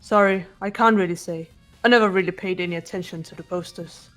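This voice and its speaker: A woman playing a stoic, muscular teenage fighter girl in game dialogue, speaking in a measured, formal way. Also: lowish voice, steady tone, soft background music, lightly acted. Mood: calm, serious. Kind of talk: fan dub of visual novel game dialogue.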